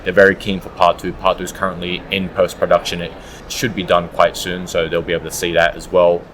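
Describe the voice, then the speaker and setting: A man's voice: sits low, monotone, brisk, a bit bright, a little hiss or hum, poor audio. A man giving conversational, explanatory answers as an audiobook producer being interviewed.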